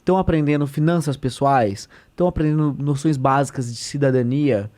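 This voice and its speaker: A man's voice: medium pitch, normal speed, darkish tone. Earnest São man explaining politics. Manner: earnest, explanatory, many fillers and restarts. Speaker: state deputy, podcast guest. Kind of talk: conversational explanation.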